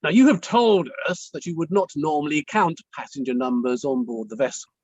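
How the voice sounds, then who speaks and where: A man playing a courteous, deliberate barrister who pauses as he cross-examines, in a table read of an inquiry play. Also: fairly high pitch, darkish tone, slightly rough, no echo. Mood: politely probing. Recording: dull, dry, lo-fi microphone.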